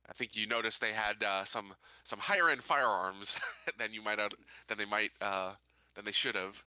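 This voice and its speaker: A man playing a tabletop roleplaying game, talking casually and deliberately with frequent hesitant pauses. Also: lowish voice, pushed and bright, clear, resonant tone, no room sound. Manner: deliberate, hesitant, pausing often.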